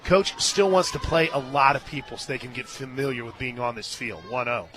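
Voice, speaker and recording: An American man doing live radio play-by-play of softball from a stadium booth, mixing casual banter with animated calls of the action. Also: fairly quick, music in the background. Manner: animated and shouting on big plays, conversational between pitches. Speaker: play-by-play announcer.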